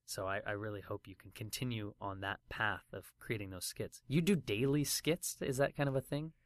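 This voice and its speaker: A man's voice: on the low side, moderate pace, no room sound. A man interviewing a guest, encouraging but hesitant, often repeating words as he starts sentences. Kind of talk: casual interview chat.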